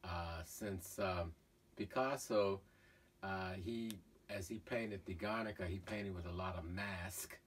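A middle-aged man, a painter, talking casually about his own painting in a halting way with many fillers, filmed in a cluttered studio. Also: low voice. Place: cluttered art studio indoors. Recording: voice from far off, faint room noise.

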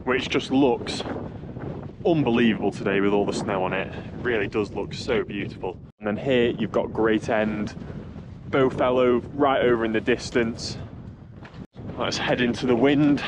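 A man's voice: medium pitch, fairly even intonation, normal speed. A young man giving casual vlog commentary as a hiker, speaking into a camera held at arm's length on an open mountainside. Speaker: hiking vlogger. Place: open snowy mountainside outdoors. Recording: clear wind and outdoor noise.

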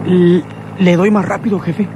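A man's voice: sits high, flat delivery, a little slow. An actor playing a loyal, deferential henchman and driver in a radio drama. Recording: noisy old radio drama recording.

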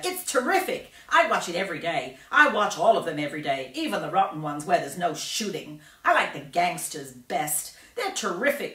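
Woman as an impatient, excitable nine-year-old boy. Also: lowish voice. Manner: shouting, impatient, gushing. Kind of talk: storybook character reading. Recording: roomy, distant microphone.

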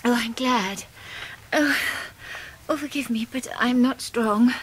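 An actress playing a fragile, devoted, genteel middle-aged English wife in a radio drama, with melodramatic delivery. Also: female voice, on the high side, slow pace, breathy edge, poor audio. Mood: faint, fluttering.

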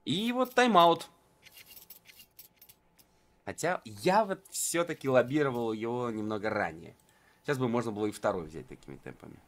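A man's voice: mid-range voice, very animated, normal speed, long pauses, fairly bright tone. A man giving live, conversational esports commentary in colloquial gamer slang. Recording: game sound behind the voice.

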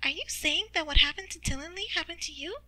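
A woman voicing an excitable young male animal character who reasons eagerly and emphatically in a storybook. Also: very high-pitched, fast talker, no room sound, voice pushed up, full character voice. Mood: excited deducing.

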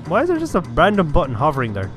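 Higher-voiced Irish man gaming. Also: huge pitch swings, rapid-fire, a bit bright. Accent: Irish, from Cork. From Cork, Ireland. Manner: chatty, swears casually. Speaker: gaming channel host. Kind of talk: casual first-person shooter walkthrough commentary. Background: game music and gunfire.

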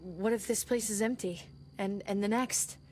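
A woman's voice: mid-range voice, moderate pace, darkish tone, close to an everyday voice. An actress playing a world-weary, sardonic woman in her thirties surviving a zombie apocalypse in a video game, blunt and wry. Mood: worried, bleak. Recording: faint music underneath.

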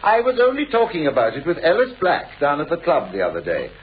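A man's voice: fairly high pitch, wildly swooping pitch, fast talker, a little hiss or hum. An actor playing a wry, self-doubting male novelist in a 1960s radio play, urbane and wordy. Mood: affable. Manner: urbane, wordy, wryly complaining. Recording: muffled, raspy old radio recording.

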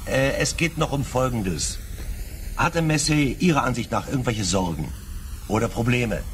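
A man's voice: mid-range voice, lively intonation, normal speed, slight background noise, lightly acted. An actor playing a probing, insistent male chief police inspector in a German radio crime drama.

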